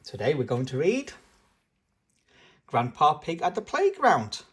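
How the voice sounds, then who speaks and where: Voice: medium pitch, huge pitch swings, measured pace, fairly bright tone, husky. A host reading a picture book aloud expressively for young children. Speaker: storybook channel host. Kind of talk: children's read-aloud narration.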